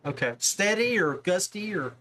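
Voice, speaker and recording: A man's voice: sits high, lively intonation, normal speed, sharp tone, poor audio. A man hosting a podcast, chatting casually and informally with a guest about his hobby in a folksy way. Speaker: podcast host. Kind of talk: casual interview chat about fishing.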